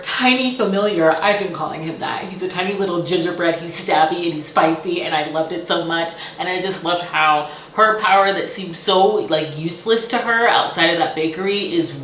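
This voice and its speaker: A woman with a fairly low voice hosts a casual book review. She gushes with playful, emphatic delivery, and the sound is noticeably echoey, as in a bare room. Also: moderate pace, hardly any pauses, fairly bright tone, slight background noise. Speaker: book reviewer and channel host. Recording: roomy, echoey indoor sound.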